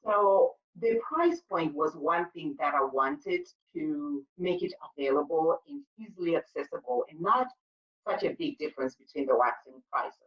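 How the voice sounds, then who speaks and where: A woman's voice: low-pitched, lively intonation, moderate pace, far from the mic, highs cut off. A woman from Zimbabwe, a spa owner, answering interview questions in an earnest storytelling way with frequent fillers. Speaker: guest, spa owner and sugar paste maker.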